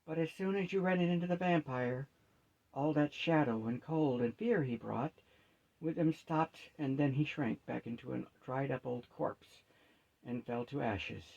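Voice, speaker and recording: A sad gnome from a fantasy storybook , read by a man, subdued and gloomy. The voice is gravelly and mellow, and the recording slightly dull. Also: mid-range voice, measured pace.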